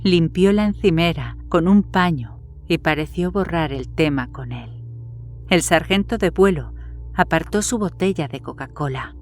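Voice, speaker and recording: A woman's voice: sits low, lively intonation, a little slow, soft background music. A woman reading a horror story as scripted narration.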